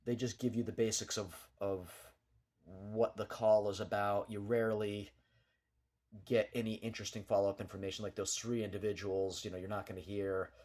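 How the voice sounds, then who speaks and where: A man's voice: fairly low pitch, level tone, normal speed, a little mellow. A man giving an unscripted, rambling local news commentary in a hesitant, matter-of-fact way, like a small-time vlogger talking through events.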